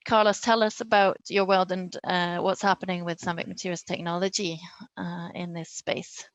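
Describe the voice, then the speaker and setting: A woman's voice: lowish voice, level tone, moderate pace, dry recording, rough recording. A woman moderating a seminar panel, reflective and slightly hesitant.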